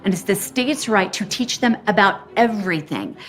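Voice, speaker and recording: A woman's voice: mid-range voice, lively intonation, moderate pace. Earnest woman narrating a documentary.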